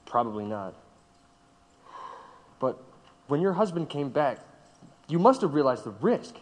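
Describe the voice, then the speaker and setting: Actor as a probing investigator, echoing voice. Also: male voice, on the high side, sing-song, unhurried, a little mellow. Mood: measured, probing. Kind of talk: audio drama acting. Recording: echoey hall reverb.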